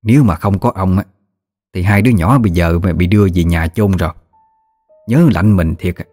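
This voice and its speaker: Storybook great-aunt played by a man: elderly, rural Southern Vietnamese, outspoken, folksy, low register over soft music. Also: moderate pace. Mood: reflective. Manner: blunt, exclamatory, folksy. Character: feisty old countrywoman, blunt, easily amazed, great-aunt. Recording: music behind the voice.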